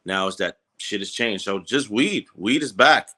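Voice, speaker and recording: A man's voice: fairly low pitch, animated, moderate pace, pushed and bright. A man with a New York accent talking loosely in an interview, with many hesitations and plenty of slang. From New York City, Washington Heights. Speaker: guest, cannabis business co-founder. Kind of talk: casual interview chat.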